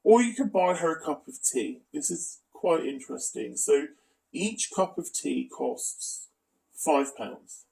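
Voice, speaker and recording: Higher-pitched, creaky man, distant mic. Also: animated, slow pace, mellow. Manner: critical, accusatory. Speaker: video commentator. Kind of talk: scripted exposé narration with asides.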